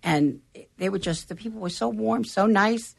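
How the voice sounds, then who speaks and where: A woman chatting as a guest, telling personal stories in a lively, animated way. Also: medium pitch, normal speed, vocal fry, slightly rough. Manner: animated storyteller, shares personal anecdotes. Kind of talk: casual chat.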